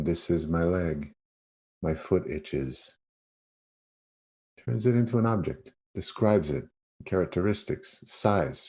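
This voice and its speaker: Man, very low voice, dark and relaxed tone, meditation teacher, guided yoga nidra, spacious pauses.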